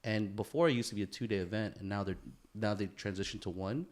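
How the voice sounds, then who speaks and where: An American man co-hosting a podcast, chatting casually and peppering his animated banter with slang. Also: lowish voice, fast talker. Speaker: podcast co-host. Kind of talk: casual chat.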